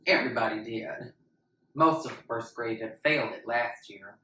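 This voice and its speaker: A woman's voice: very deep voice, moderate pace, pushed and bright. A woman narrating in the style of a dramatic book reading. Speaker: actor narrating. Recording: echoey, distant mic, faint noise.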